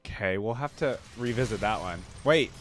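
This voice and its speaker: A man's voice: on the low side, lively intonation, moderate pace. A man hosting a reaction video, giving casual commentary and musing aloud in short remarks. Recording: loud music underneath. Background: loud music.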